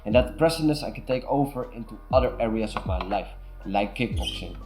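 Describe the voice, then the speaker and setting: A man's voice: mid-range voice, expressive, brisk, darkish tone, faint music behind. Dutch-accented young man giving advice. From Netherlands. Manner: earnest, repeats "super" and "way better". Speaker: self-improvement vlogger. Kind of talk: casual advice monologue to camera.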